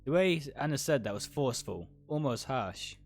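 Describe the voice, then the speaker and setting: A man reads a visual novel aloud for a livestream with lively, swinging intonation. He narrates dramatically in the first person, sometimes tripping on a word and fixing it. Soft guitar music plays behind him. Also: medium pitch, normal speed. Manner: stumbles over words and corrects himself.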